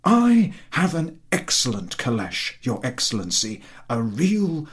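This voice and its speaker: A man's voice: medium pitch, wildly swooping pitch, unhurried, husky, exaggerated voice. A man voicing an eager, boastful landowner who fawns effusively on his superior in a story reading. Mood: boastful, delighted. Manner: obsequious, effusive, over-polite.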